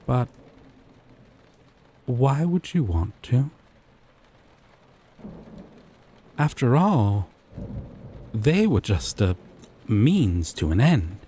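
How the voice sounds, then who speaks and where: A man playing a gentle, possessive yandere boyfriend from a dating sim, speaking tenderly and coaxingly with deliberate pauses in a scripted roleplay. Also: mid-range voice, very animated, slight background noise. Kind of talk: scripted roleplay audio drama.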